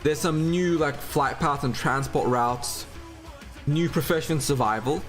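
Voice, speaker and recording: A man's voice: fairly high pitch, measured pace. Australian man streaming casually over faint music. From Turkey and Australia. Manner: informal, sweary. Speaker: livestream host. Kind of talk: casual livestream chat.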